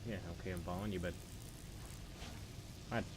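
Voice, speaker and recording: Low-voiced man gaming over noisy game audio. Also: fairly even intonation, fairly quick. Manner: informal, reacting aloud to the game. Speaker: gaming video host. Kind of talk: casual let's play commentary. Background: video game ambience.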